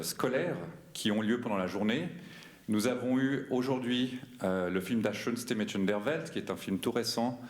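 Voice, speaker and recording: Hesitant low-voiced man opening a festival. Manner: hesitant, many fillers and restarts. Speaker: festival president. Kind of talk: welcome speech. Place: cinema hall. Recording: roomy hall.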